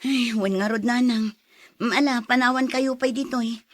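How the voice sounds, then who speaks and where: A woman's voice: fairly high pitch, fairly even intonation, unhurried, dry recording, lightly acted. A woman playing a matter-of-fact adult daughter from a village in a Filipino audio drama.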